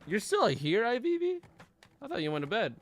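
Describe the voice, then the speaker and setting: A man's voice: high-pitched, wildly swooping pitch, moderate pace. A man hosting a game stream, chatting in an easygoing way and reading out the chat. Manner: easygoing, chuckling, reads chat. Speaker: streamer host. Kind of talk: casual gaming chat.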